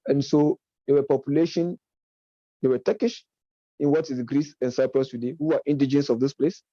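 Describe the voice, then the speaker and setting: Man, mid-pitch, very dark tone, argumentative lecture delivery with frequent self-corrections; dry, muffled recording. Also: fairly even intonation, brisk, no room sound. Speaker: speaker on politics and religion. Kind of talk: unscripted lecture-style commentary.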